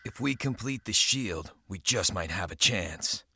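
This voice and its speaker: A man's voice: sits low, lively intonation, a little slow, sharp tone, slight background noise, full character voice. A man playing a hot-headed, sardonic commander and former pirate in a video game, with a gritty, terse delivery. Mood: brooding. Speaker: game protagonist and narrator. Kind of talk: dramatic game cutscene acting with brooding narration.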